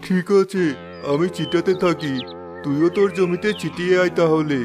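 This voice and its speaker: A man voicing a humble, trusting blind village father in an animated moral story, speaking earnestly. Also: sits high, normal speed, music in the background, natural voice.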